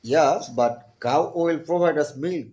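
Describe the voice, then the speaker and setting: A man's voice: on the high side, darkish tone. Young Bangladeshi man learning English. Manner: halting learner's English, eager, repeats words. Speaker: channel host practicing English with strangers. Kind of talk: casual video chat with a stranger. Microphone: video call.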